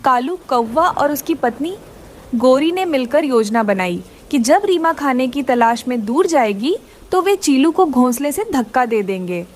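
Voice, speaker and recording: A woman's voice: high-pitched, lively intonation, measured pace. A woman reading scripted moral-story narration for a children's cartoon.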